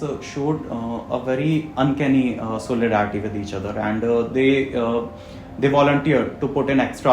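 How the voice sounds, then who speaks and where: A man with an Indian accent giving an interview account as an air traffic controller, with hesitant delivery and formal wording. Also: medium pitch. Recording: roomy echo, music underneath. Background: background music.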